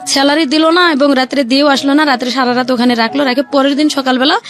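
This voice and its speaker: A Bangladeshi woman recounts her experiences as an interview guest in a fairly high voice. Her speech is colloquial and rural, and she quotes back whole exchanges. Soft music sits faintly behind her. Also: steady tone, fast talker. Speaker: guest, returned migrant domestic worker. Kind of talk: personal life-story telling in an interview.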